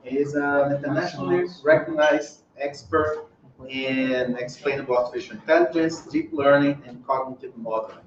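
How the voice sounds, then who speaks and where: A man's voice: medium pitch, moderate pace. A male seminar host reads a formal introduction of the guest speaker and fixes small slips along the way. The sound is dull and lo-fi, with faint noise underneath. His voice comes from a distance in an echoing room. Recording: distant, roomy, dull lo-fi hall recording.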